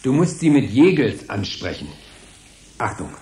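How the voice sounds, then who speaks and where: An actor playing a proud, brisk man showing off his laboratory androids in a science fiction radio play. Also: medium pitch, sing-song, a little mellow. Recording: echoey room, background noise.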